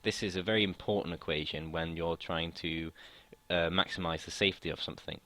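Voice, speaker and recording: Deep-voiced young man, slightly croaky. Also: fairly even intonation, brisk, bright, forceful tone, no echo. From United Kingdom. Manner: hesitant, restarts sentences, informal asides. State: slightly croaky from long talking. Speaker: student tutor. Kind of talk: casual explanatory lesson.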